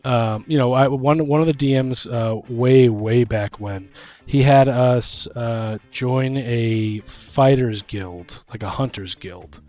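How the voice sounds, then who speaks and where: A man co-hosting a casual conversation about tabletop games, feeling his way through sentences haltingly. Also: medium pitch, mellow, soft background music. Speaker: podcast co-host.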